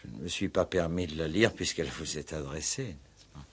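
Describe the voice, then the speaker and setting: A man playing a gruff, insistent police commissioner in a 1960s French-language radio play, with colloquial, probing talk and hesitant repetitions. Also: rumbling low, fairly quick, fairly bright tone, heavily acted. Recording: vintage 1960s radio drama recording.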